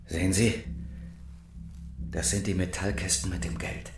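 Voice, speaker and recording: A man's voice: deep voice, little pitch movement, measured pace, creaky, faint music behind. A man playing a resolute inside man on a heist in a radio crime drama, explaining things matter-of-factly. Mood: tense, resolute.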